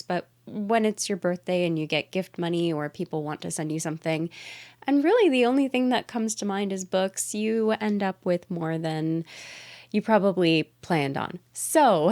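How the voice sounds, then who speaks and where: A young woman from Canada hosting a casual book haul video, chatty and upbeat with frequent laughs, sounding a little congested from a mild cold. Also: medium pitch. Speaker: booktube host.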